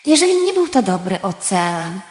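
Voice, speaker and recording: A woman's voice: mid-range voice, sing-song, normal speed, close to an everyday voice. A woman playing a sensitive, questioning girl in an audio drama, earnest and wondering. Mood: wistful, wondering. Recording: echoey hall reverb with music.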